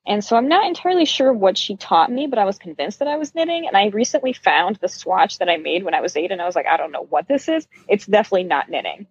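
A woman's voice: medium pitch, big pitch swings, quick pace, few pauses, breathy edge, no echo, muffled recording. A middle-aged woman from Brazil being interviewed, telling personal stories in a candid, self-deprecating, conversational way. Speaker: interview guest, yarn shop owner.

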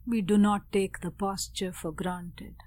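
A woman meditation teacher giving calm, measured guided instruction with pauses and Sanskrit mantra. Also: medium pitch.

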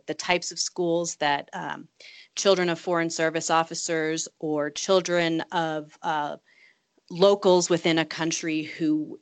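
A woman in her late thirties, from Virginia, answering interview questions thoughtfully with frequent restarts and repeated words. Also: deep voice. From Virginia, United States. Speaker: guest, government attaché.

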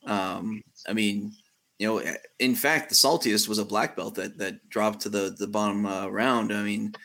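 Male podcast host, low bright voice, lots of uh pauses while leading the chat. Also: steady tone, vocal fry. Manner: steering the talk, many "uh" pauses. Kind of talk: podcast interview.

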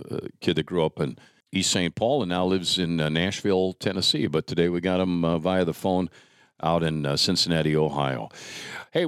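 A man hosting a casual interview podcast in a chatty, folksy, somewhat halting way. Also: low voice, smooth, no room sound, clean studio sound. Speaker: podcast host.

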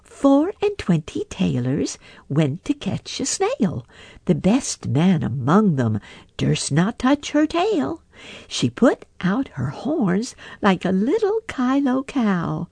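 A woman voicing a chorus of tiny, rude, merry mouse tailors who chant nursery rhymes in a high sing-song. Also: wildly swooping pitch, slow, vocal fry, mellow, no echo, exaggerated voice. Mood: whispered sing-song.

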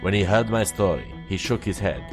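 A man's voice: sits low, animated, a little fast, music in the background. A man giving solemn, dramatic narration of an old tale in formal archaic language. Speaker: storyteller, narrator.

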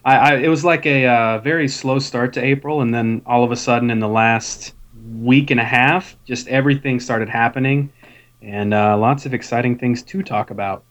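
A man's voice: medium pitch, fairly even intonation, fairly bright tone. A man in his thirties co-hosting a podcast, chatting casually and agreeing readily. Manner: agreeable, quick to laugh along. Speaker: podcast co-host.

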